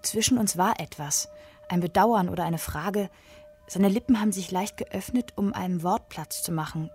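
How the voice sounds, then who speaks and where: A woman's voice: lowish voice, moderate pace, darkish tone, soft background music, close to an everyday voice. An actress playing a wistful, reflective woman witness narrating in a radio play. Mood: wistful, regretful. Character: wistful woman witness remembering a man's arrest.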